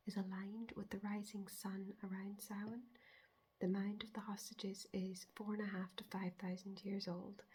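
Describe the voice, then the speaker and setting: A woman whispering in a hushed, measured, breathy voice as an ASMR host explaining folklore. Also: medium pitch.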